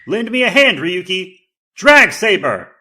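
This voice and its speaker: A man's voice: high-pitched, sing-song, a little slow, sharp tone, pitched above the performer's own voice, heavily acted. A man playing an earnest, bold young hero in a tokusatsu audio drama, with a declamatory heroic manner. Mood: battle cry. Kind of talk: audio drama superhero acting.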